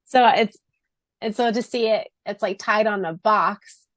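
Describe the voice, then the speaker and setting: A woman's voice: medium pitch, unhurried, dry recording. A woman co-hosting a podcast, chatting casually in a gushing, giggly way. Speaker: podcast co-host. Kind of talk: casual chat about a fan fiction.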